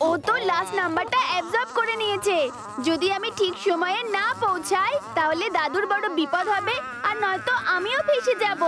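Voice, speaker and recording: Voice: extremely high pitch, fairly quick, over a noisy crowd. An actor voicing an earnest little robot boy hero in a children's cartoon, speaking with urgency. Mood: worried, determined. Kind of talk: dubbed children's cartoon acting.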